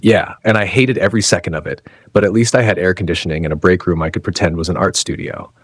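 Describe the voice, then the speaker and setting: Low haughty cartoon squid read by a man, world-weary, dry, husky, audio drama. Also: fast, dry recording.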